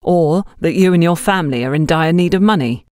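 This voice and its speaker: A woman's voice: on the low side, fairly quick, a little mellow, clean tone, no echo, studio quality, natural voice. A woman voicing a stern female shop supervisor in an audiobook, accusatory and sarcastic. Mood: accusing.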